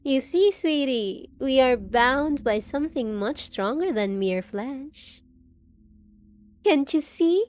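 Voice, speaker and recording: A woman's voice: high voice, animated, slow pace, darkish tone. A woman playing a possessive, unhinged undead bride in a roleplay audio drama, cooing endearments with theatrical, sinister sweetness. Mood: possessive, adoring.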